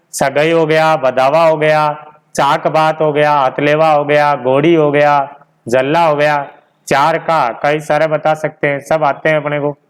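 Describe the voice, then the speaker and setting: Male coaching teacher, crisp clear tone, slightly raised pitch, informal lecturing with repetition and rhetorical questions. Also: poor audio. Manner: repeats key points, poses questions and answers them himself. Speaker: teacher of an online exam-prep class.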